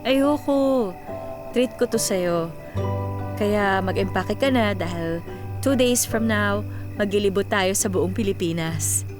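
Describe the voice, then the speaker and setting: A woman's voice: medium pitch, takes it slowly, lightly acted. An actress playing a young Filipino woman graduate, teasing, affectionate and bossy, in a radio drama. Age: young adult. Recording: music playing under the voice.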